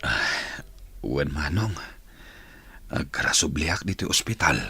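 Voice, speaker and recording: A man with a Filipino accent playing an ailing, regretful older father in a radio drama, with a husky, rough voice. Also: rumbling low, big pitch swings, a little slow, bright, forceful tone, full character voice.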